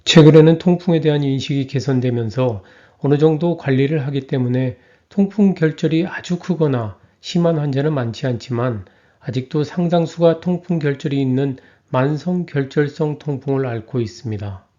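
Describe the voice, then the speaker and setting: A man reading a scripted health lecture in an even, measured way. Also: medium pitch, dull audio.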